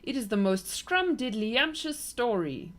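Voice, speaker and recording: A woman voicing a huge kindly old male giant who mangles his grammar, speaking louder than her narration. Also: on the high side, sing-song, a little slow, pitched above the performer's own voice. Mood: gentle. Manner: gentle, mangled grammar, loud.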